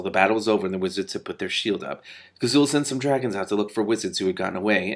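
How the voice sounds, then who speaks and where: A man's voice: fairly low pitch, fairly bright tone. A man reading a fantasy book aloud as a narrator, adding comments of his own. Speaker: reader and commentator. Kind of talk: expressive book read-aloud with off-script commentary.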